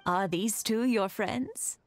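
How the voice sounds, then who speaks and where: A woman voicing a kindly, welcoming elderly woman in an anime game, with gentle, grandmotherly drawn-out speech. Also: mid-range voice, soft background music.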